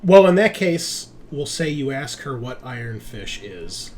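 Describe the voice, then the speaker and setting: A man's voice: mid-range voice, big pitch swings, a little slow, a little mellow. A man narrating a tabletop roleplaying game as the game master. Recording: noticeable background noise.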